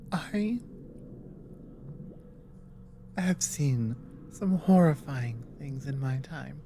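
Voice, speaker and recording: A woman plays a grave female dragon who has survived a long war. She speaks slowly in a mellow tone, earnest and halting, leaving dramatic pauses. It is an ASMR audio drama with clear background noise. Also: sits low, heavily acted. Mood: troubled, weary.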